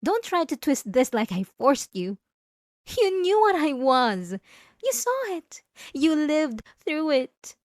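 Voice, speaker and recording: A woman's voice: sits high, very animated, no room sound, studio quality, heavily acted. A woman playing a possessive, obsessive wife in an intimate, theatrical ASMR roleplay monologue. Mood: angry, possessive. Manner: intimate, theatrical, drawn-out emphatic phrases. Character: possessive, obsessive yandere wife confronting her spouse.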